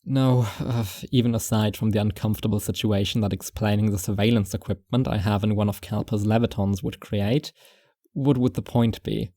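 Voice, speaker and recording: Male actor as reclusive, paranoid first-person narrator for audio fiction. Low, dry close sound, musing and wry. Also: fairly even intonation, moderate pace, warm tone, no echo. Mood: uneasy musing.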